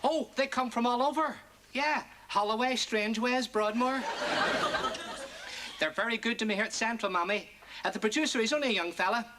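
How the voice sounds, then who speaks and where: A comedian man doing a stand-up routine as a pretend phone call to his mother, firing off quick one-liners. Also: extremely high pitch, background noise.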